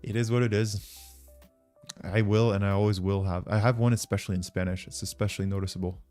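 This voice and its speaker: Male livestream host, French accent, lower register, informal and rambling, faint music underneath. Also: fairly even intonation, fast, mellow. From France. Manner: informal, rambling, thinking aloud. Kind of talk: casual livestream chat with viewers.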